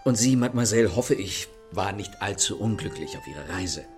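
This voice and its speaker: An actor playing a self-assured, courteous and mocking gentleman thief in a German radio play, with polished theatrical manners. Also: lowish voice, animated, brisk, over music, close to an everyday voice. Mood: courteous, confident.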